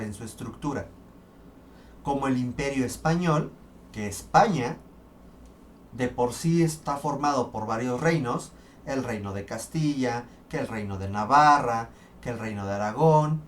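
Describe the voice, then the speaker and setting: A man lectures on history at a middle pitch, talking through each point step by step. He sits some distance from the mic, and a faint hiss runs under his voice. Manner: explanatory, with fillers and restarts. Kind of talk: recorded history lesson.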